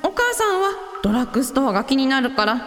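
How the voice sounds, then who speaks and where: High, lively, practical mother played by a woman. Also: fast. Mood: casual, motherly. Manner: casual, motherly, encouraging. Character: cheerful, practical mother of grown daughters. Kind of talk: radio drama dialogue. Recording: long reverb, music behind.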